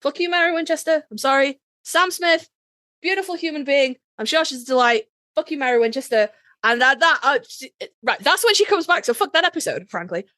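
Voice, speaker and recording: A woman with a Yorkshire accent and a fairly high voice that swings widely in pitch. She talks like an opinionated podcast co-host, blunt and sweary, leaning hard on words for drama. The sound is dry and close. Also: lively intonation, brisk, sharp tone, dry recording. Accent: Yorkshire English. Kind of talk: casual opinionated fan chat, ranked countdown.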